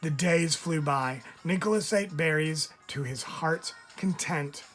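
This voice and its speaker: A man, a teacher, reading a picture book aloud in an expressive storytelling style, stressing key words. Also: fairly high pitch, unhurried. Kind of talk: expressive read-aloud narration for children. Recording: music under the voice. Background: music.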